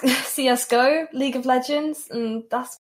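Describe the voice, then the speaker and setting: Young woman guest on a stream, bit high voice, laid back and joking about herself. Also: fairly even intonation, unhurried. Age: young adult. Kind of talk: casual stream chat.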